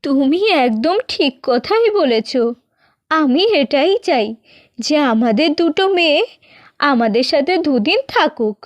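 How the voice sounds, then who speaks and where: A woman's voice: high voice, lively intonation, unhurried, no room sound. A woman voicing a tender middle-aged mother in a cartoon, pleading and plaintive. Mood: longing, pleading. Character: tender middle-aged mother missing her married daughters. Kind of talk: scripted cartoon dubbing.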